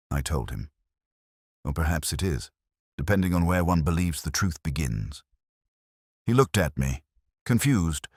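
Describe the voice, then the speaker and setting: A man playing a weary, ageing English detective, narrating a literary drama in a measured, solemn, reflective way. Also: extremely low pitch, husky, no echo, studio quality, heavily acted. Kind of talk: first-person literary audio drama narration.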